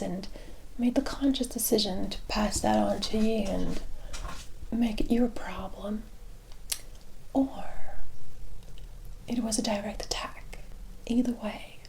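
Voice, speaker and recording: A woman playing a teasing, worldly forest witch in a whispered ASMR roleplay, speaking in a deliberate, familiar way. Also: fairly high pitch, creaky, warm tone, slight background noise, low-quality recording. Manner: unhurried, deliberate, familiar terms of address.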